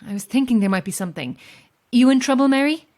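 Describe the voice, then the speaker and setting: A woman acting as a solitary Irish lighthouse keeper in an audio horror drama. She is calm and watchful, with a dry wit and a measured, understated delivery. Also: fairly high pitch, lightly acted. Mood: friendly, helpful. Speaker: lighthouse keeper and narrator of a tale.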